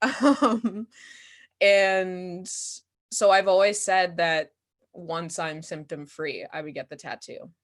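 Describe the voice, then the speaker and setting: American woman, slightly low voice, giggly and informal, casual post-show talk. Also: steady tone, slow. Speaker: actor and playwright answering audience questions.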